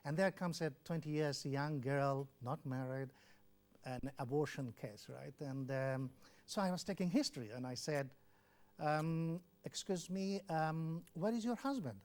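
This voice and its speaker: A man's voice: on the high side, unhurried, a little mellow. An older man from Bangladesh, a former health official, earnestly telling his life story in an interview and acting out remembered dialogue. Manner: earnest, repeats words, acts out quoted dialogue. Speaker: former WHO country representative.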